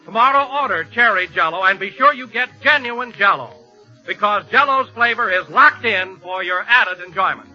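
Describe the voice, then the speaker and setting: A man's voice: high-pitched, lively intonation, moderate pace, bright, forceful tone, soft background music. An American man announcing in an enthusiastic, emphatic 1940s radio commercial style. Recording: muffled vintage 1940s radio broadcast.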